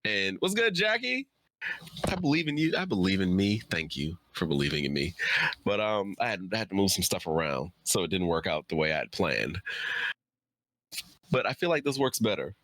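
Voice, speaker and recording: Male streamer, fatigued, lowish voice in a very dry recording, casual hosting chat. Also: sing-song, moderate pace, fairly bright tone, no room sound. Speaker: live streamer and host.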